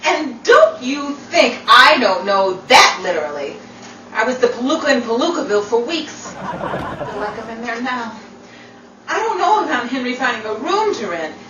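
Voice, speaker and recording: Woman acting a sassy high-voiced Hollywood starlet. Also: sing-song. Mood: wry. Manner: arch, theatrical, quick-witted. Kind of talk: 1940s radio comedy acting. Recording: roomy, distant, very noisy amateur recording.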